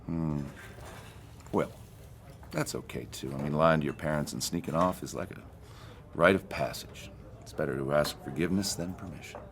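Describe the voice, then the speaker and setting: A man's voice: a bass voice, normal speed, pauses often, background noise. An actor in an audio drama playing a casual, penny-pinching man who is guarded beneath his folksy talk. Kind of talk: audio fiction drama acting.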